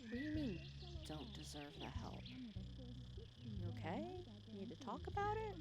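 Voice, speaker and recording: A woman's voice: a little high, wildly swooping pitch, a little slow, very noisy. A woman playing a wry, bossy but caring female villain who fusses over her hero nemesis in an intimate ASMR roleplay. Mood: concerned, soft. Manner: intimate, wry, fussing and bossy with soft teasing. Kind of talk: ASMR roleplay acting, one side of a conversation. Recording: clear cricket and insect ambience behind. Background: crickets and outdoor night insects.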